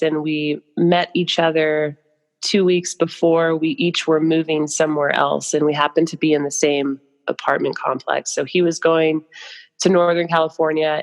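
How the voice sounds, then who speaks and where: A woman's voice: low voice, in a large hall. A young adult American woman speaking as a podcast guest, telling stories casually in loose, informal phrases with many asides. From United States. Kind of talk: casual conversational storytelling.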